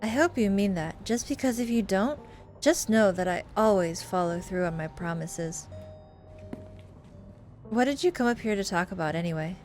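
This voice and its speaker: A woman's voice: medium pitch, animated, normal speed, a little mellow, soft background music, natural voice. Woman voicing a stern, menacing young woman boss. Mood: stern, weary. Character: tense, angry young woman boss, threatening cousin. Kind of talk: visual novel character voicing.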